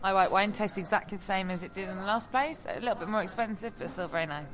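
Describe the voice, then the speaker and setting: A woman's voice: mid-range voice, fast talker. A woman podcast contributor talking and asking questions in a casual, chatty style. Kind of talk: casual chat. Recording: crowd chatter behind.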